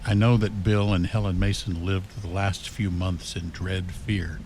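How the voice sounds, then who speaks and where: An actor playing a grieving, uneasy man who narrates a radio ghost story in an ominous, halting way. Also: low voice, level tone, noisy background. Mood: ominous grief. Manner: slow, ominous, halting with dread. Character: grieving, uneasy man reading a dead friend's diary. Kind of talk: radio horror drama narration.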